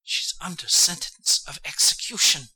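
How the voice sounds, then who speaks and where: Storybook rabbit, male: anxious and timid, breathy, very sharp-edged, hesitant. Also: mid-range voice, wildly swooping pitch, moderate pace, pitched above the performer's own voice, full character voice, low-quality recording. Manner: hushed, nervous, hesitant.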